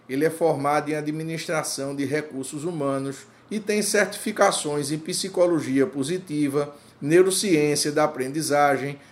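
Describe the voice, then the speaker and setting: Measured male interview host. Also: a little high. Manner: formal, measured, complimentary. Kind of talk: interview hosting.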